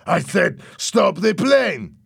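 A man playing an angry, defiant gunman in a story reading, shouting belligerent threats and demands. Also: mid-range voice, unhurried, vocal fry, husky, no room sound, studio quality, voice pushed up, heavily acted. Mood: furious, defiant.

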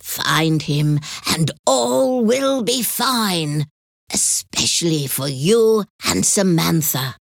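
Storybook witch, old and all-knowing, gravelly and slow, voiced by a woman narrator. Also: fairly low pitch, lively intonation, no echo, studio quality, full character voice. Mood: mysterious.

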